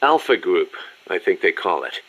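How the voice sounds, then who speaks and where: A man's voice: low-pitched, very animated, normal speed, a bit bright, muffled recording, heavily acted. A man playing a businesslike, articulate military second-in-command explaining things in a video game. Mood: earnest, admiring. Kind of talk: scripted video game briefing dialogue.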